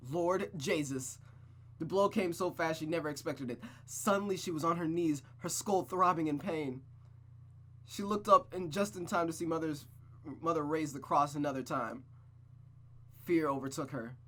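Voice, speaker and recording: A host reading a visual novel aloud, then breaking off into blunt, excited asides. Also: medium pitch, normal speed, slight background noise. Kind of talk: narration with casual reactions.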